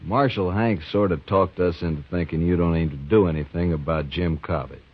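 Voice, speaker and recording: A blunt, deep-voiced townsman. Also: fairly quick, slightly rough. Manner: blunt, plainspoken. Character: blunt frontier townsman, man, impatient. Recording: dull old radio broadcast recording.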